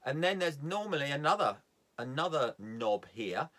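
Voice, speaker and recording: A man's voice: fairly high pitch, very animated, moderate pace, a bit bright. A man giving an informal, hands-on instructional talk as a sailing instructor, plain-spoken and step by step, repeating key points for emphasis.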